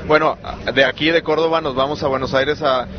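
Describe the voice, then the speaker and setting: A man's voice: on the high side, fairly even intonation, brisk. A Mexican man, a touring musician, casually inviting listeners along in an interview. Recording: dull sound amid loud crowd. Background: festival crowd.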